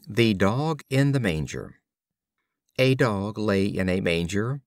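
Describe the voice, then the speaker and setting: A man reading fables as scripted audiobook storytelling, with measured, deliberate phrasing. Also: lowish voice, no echo, studio quality. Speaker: audiobook reader.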